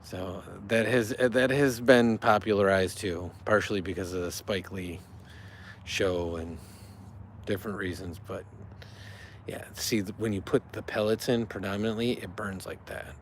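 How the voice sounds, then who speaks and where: A man's voice: on the low side, fairly even intonation, normal speed, slight background noise. A middle-aged man from the Chicago area hosting a casual livestream outdoors by a fire, explaining things in a rambling, easygoing way with fillers, recorded on a phone on a small stand. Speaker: livestream host, father. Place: outdoors in a front yard by a fire.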